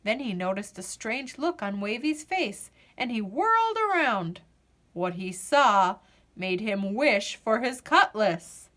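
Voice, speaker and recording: Female picture-book narrator, expressive and deliberate, lively swooping pitch on the high side. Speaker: storyteller. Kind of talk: children's picture book read-aloud.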